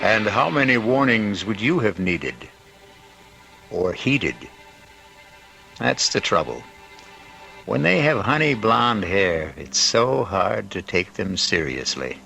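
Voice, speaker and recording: Droll spooky radio storyteller, slow with lots of pitch swings, music behind him. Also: male voice, very animated, gravelly, pushed and bright, heavily acted. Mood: wry, ominous. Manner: deliberate, droll, theatrical. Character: wry, ominous male storyteller host. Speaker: mystery show host. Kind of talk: scripted old-time radio narration.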